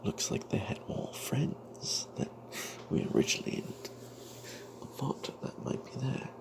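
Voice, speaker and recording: A man narrating a fantasy tale in breathy ASMR whispers, in character as a wry, suspicious adventuring cleric, with a halting, hushed delivery. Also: noisy background.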